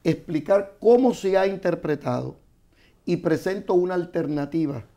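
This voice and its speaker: A man, a guest author, explaining scripture in a measured, teaching way on a studio panel. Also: high-pitched, warm tone.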